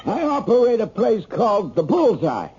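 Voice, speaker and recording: An actor playing a genial, hungry shooting range owner with halting, chuckling, streetwise talk in a radio drama. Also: male voice, sits high, big pitch swings, a little mellow, slight background noise. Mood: genial, chummy. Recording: dull lo-fi old radio recording.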